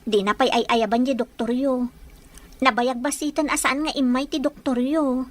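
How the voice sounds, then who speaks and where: A humble household maid and mother in an audio drama, acted by a woman, with a high voice. She speaks meekly and respectfully. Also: steady tone, moderate pace, natural voice. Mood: deferential. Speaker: drama actress. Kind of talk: Ilocano audio drama acting.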